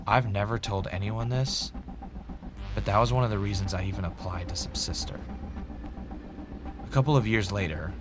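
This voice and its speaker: An actor playing a bitter, self-justifying former reality show winner turned podcaster, delivering a reflective confessional monologue with dramatic pauses. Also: male voice, on the low side, lightly acted. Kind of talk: confessional first-person scripted audio drama monologue.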